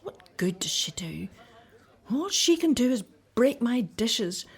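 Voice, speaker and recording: A woman's voice: a little high, very animated, slow, heavily acted. A woman playing a fussy, overworked, resentful housewife in a radio play, complaining in a flustered way. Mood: exasperated.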